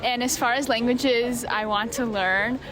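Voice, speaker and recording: A woman's voice: on the high side, fairly even intonation, a little slow, a crowd in the background, poor audio. A woman answering casually as an interviewee, hesitant with many fillers. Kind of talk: casual chat.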